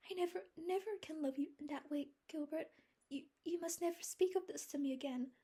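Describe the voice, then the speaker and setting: A dreamy, emotional young woman, as a woman reader puts her on, talks in a high, breathy voice that often sinks to a whisper. Her husky tone sits well above the reader's own low voice. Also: fairly even intonation, moderate pace, mellow. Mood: distressed. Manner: breathy, rapturous, at times whispering. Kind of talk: audiobook character voice.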